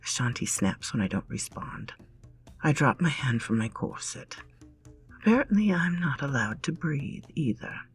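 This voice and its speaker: A woman narrating a story in a dramatic, breathy, often whispered style. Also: a bass voice, big pitch swings, normal speed, soft background music.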